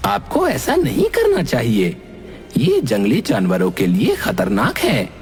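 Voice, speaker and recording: Man voicing an animated, earnest cartoon ghost. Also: mid-range voice, unhurried. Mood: pleading. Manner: earnest, dramatic, pleading. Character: friendly indignant male ghost pleading for forest animals. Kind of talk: animated cartoon character acting. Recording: music bed under the voice.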